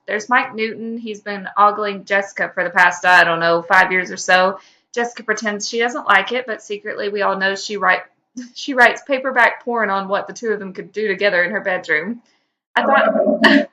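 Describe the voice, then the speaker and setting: Bright, forceful woman podcast co-host. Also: mid-range voice, muffled recording. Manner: slangy, informal, expressive. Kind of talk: casual chat and animated reading aloud of fan fiction.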